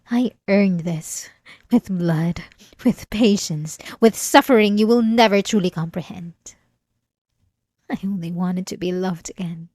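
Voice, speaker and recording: A woman playing an obsessive, possessive, centuries-old vampire in an ASMR roleplay, speaking intimately and deliberately with dramatic pauses. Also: mid-range voice, darkish tone, dry recording, clean studio sound, close to an everyday voice.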